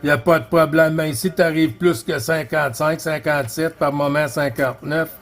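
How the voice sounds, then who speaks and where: A man's voice: fairly high pitch, steady tone, normal speed, a bit bright. A man with a Quebec French accent chatting casually as a CB radio operator, often repeating his phrases and addressing others in a friendly way. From Quebec, Canada. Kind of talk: casual radio contact chat.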